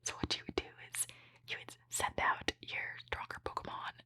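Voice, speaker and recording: A woman speaking in a breathy, unvoiced whisper, giving gentle, appreciative ASMR commentary as she hosts a trading card opening. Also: normal speed.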